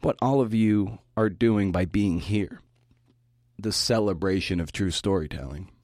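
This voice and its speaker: Male podcast host, low pitch, dry close sound, informal talk delivery with casual swearing. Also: a little slow, darkish tone, no room sound. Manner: informal, mildly profane. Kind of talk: prepared talk to fellow writers.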